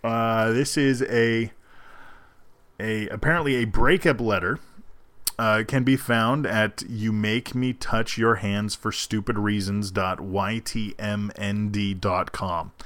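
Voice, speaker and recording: A man chatting casually and haltingly as a host introducing a reading. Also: fairly low pitch, steady tone, a bit bright, dry recording. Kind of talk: casual chat.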